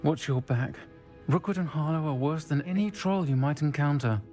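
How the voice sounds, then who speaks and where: An actor playing a protective, unflappable tavern keeper in a wizarding video game, steady and dryly defiant. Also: male voice, on the high side, moderate pace, lightly acted. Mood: firm, defiant. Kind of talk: dramatic video game acting. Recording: music behind the voice.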